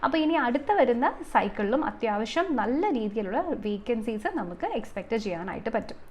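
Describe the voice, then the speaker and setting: A woman's voice: on the high side, fairly quick, slight background noise. A woman giving an explanatory exam coaching lesson, mixing in many English terms and numbers. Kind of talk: explanatory video lesson, semi-formal.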